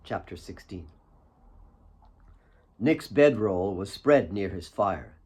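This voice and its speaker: A man reading his own fiction as an audiobook, with solemn, deliberate dramatic narration. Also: low voice, animated, darkish tone, breathy edge.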